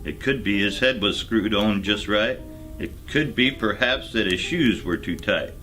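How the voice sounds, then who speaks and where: A man reads a rhyming storybook in a low, bright and forceful voice. His delivery is very unhurried and measured, with faint music underneath. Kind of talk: storybook narration, rhymed.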